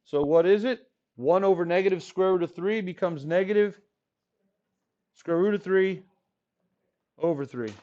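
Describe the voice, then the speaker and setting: Playful, high-voiced, hoarse math teacher. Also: male voice, moderate pace, pauses often. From Texas Rio Grande Valley. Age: 40s. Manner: playful, jokey, talks to the class. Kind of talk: classroom lecture. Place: classroom. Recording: dull lo-fi classroom recording.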